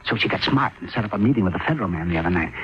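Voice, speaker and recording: An actor playing a frightened, guilt-ridden male informant, agitated, halting and pleading, in an old-time radio drama. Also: on the low side, lively intonation, natural voice. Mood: agitated, guilty. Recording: muffled lo-fi old radio recording.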